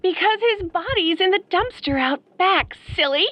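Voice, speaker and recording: Very high-pitched girlish killer fangirl. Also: animated, moderate pace, muffled recording. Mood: sweetly threatening. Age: young adult. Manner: girlish, soft-spoken, pouty. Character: sweetly menacing young obsessed female fan turned killer. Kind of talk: ASMR horror roleplay acting.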